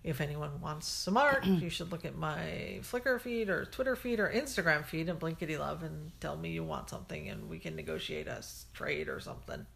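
A woman's voice: sits low, animated, moderate pace, vocal fry, hardly any pauses, a bit bright. A woman co-hosting a podcast in casual chat, talkative and laughing often, trailing off mid-sentence. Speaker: podcast co-host.

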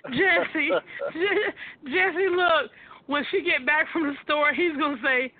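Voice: high-pitched, slow, dry recording. A host teasing and playfully leading a casual group chat on an adult late-night show. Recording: tinny phone line with some noise.

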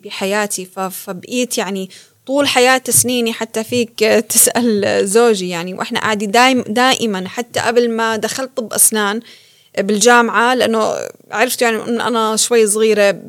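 A woman, born in Kuwait, telling her life story as an interview guest with lively laughter. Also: on the high side, quick pace. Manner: animated storytelling, laughs often. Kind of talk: casual interview.

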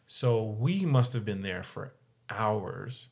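A man co-hosting a casual podcast, telling a story in an animated way and acting out the people in it. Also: on the low side, slow pace, gravelly. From San Diego area. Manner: animated, acts out quoted voices. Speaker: podcast co-host.

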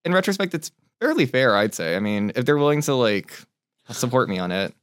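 A young man with a smooth, clear voice, a chef guest on a podcast who grew up in California. He tells his story easygoing and laughs often, recorded close and dry. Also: medium pitch, big pitch swings, fairly quick, no room sound. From born in Taiwan, raised in California. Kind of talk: casual interview chat.

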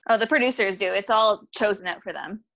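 A woman's voice: mid-range voice, brisk, fairly bright tone, lo-fi sound. Upbeat woman in casual podcast chat.

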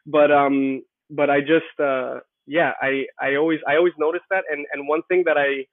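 A man's voice: fairly high pitch, steady tone, normal speed, dry recording. A man from New York City, a podcast guest chatting casually and rambling, with many repeated false starts. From Queens, New York City. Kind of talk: casual conversation. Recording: tinny phone-like line, dry.

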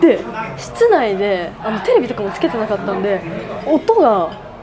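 A woman's voice: medium pitch, big pitch swings, normal speed. A woman podcast co-host chatting casually but politely, reacting eagerly to her partner. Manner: eager listener with frequent reactions. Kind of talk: casual chat in polite speech. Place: in an oyster eatery where a co-host works. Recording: background chatter of a busy eatery. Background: crowd chatter.

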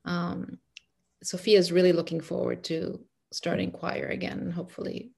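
A middle-aged woman from Egypt talks as a guest in a relaxed interview. Her voice sits low and turns gravelly at phrase ends, and she talks in an earnest way with fillers and restarts. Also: moderate pace. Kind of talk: casual conversational interview.

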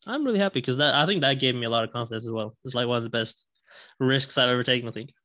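A man's voice: mid-range voice, very fast, bright, forceful tone, no echo, studio quality. A young man from Sweden telling a story as a podcast guest, with many fillers and restarts. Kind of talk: casual storytelling.

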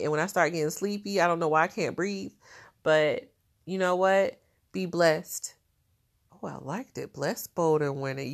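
A woman with a nasal, stuffed-up voice talking in a casual diary vlog, chatty and laughing often. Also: sits low. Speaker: vlogger.

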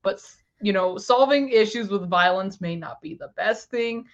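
A woman's voice: mid-range voice, moderate pace. A woman from Texas co-hosting a podcast, chatting casually and in a rambling way as a fan, on dull lo-fi audio. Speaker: podcast co-host.

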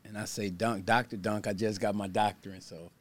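Laid-back man, low voice. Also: steady tone, brisk. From Baton Rouge, Louisiana. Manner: laid-back, plainspoken, slangy. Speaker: guest, pastor and motivational speaker. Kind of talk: candid storytelling interview.

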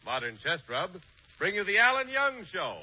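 High, animated old-time radio announcer man. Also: moderate pace. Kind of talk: 1940s radio announcing, scripted introduction. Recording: dull old radio recording with music. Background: prominent music.

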